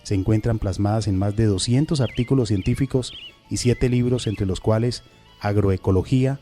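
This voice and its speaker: Low, mellow Colombian radio host. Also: male voice. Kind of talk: radio interview hosting.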